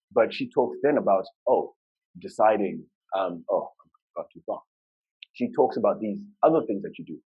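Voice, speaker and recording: A man's voice: low-pitched, breathy edge, lo-fi sound. A man from Zimbabwe whose first language is Shona, giving a conversational conference talk with filler words and restarts. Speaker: conference speaker.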